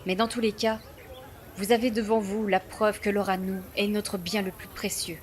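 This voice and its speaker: A woman playing a solemn, low-voiced clan chief. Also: little pitch movement, natural voice. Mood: reverent, firm. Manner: formal, measured, ceremonious phrasing. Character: proud, solemn female clan chief of a primitive village. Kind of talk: scripted fantasy audio drama acting. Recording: voice under clear background music.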